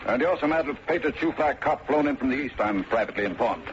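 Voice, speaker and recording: Guy doing a windbag loan shark from a small town, pompous n loud-mouthed, old radio show, muffled with lots of noise. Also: mid-range voice, lively intonation, quick pace, close to an everyday voice. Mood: blustering. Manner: pompous, florid, long-winded wording. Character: blustering pompous small-town moneylender and bookmaker, loudmouthed. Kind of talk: old-time radio drama acting. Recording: dull, noisy vintage radio recording.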